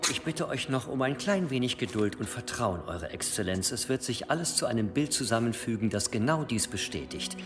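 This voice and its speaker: An actor playing a shrewd, insinuating medieval cathedral provost in a radio play, formal and smoothly insistent. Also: male voice, mid-range voice, animated, brisk, few pauses, lightly acted. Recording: long hall reverb, faint music.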